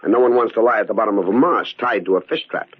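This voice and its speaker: Radio thriller killer: low, husky, calculating, mock-polite, on a dull old recording. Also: male voice. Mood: menacing, gloating. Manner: taunting, deliberate, mock-polite. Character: cold, gloating male murderer, a resentful long-serving employee.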